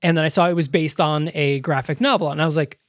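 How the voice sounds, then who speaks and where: A man with a high voice hosting a casual podcast chat, rambling and self-deprecating. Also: no room sound, studio quality. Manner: quick, rambling, self-deprecating with many likes. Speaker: podcast host.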